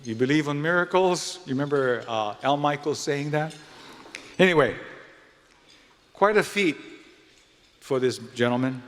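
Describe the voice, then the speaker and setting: A man from Hawaii with a Hawaiian accent hosts an event live, giving a folksy, slightly halting speech to guests in a large indoor venue. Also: fairly high pitch, animated, slight background noise. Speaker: event host and speaker. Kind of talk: live welcome speech with jokes and introductions. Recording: long hall reverb from venue PA.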